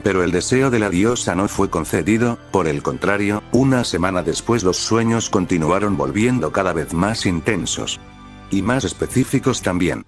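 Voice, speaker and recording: A man reading a fanfiction story aloud as scripted narration, measured and delivered in short phrases. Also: sits low, animated. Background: soft background music.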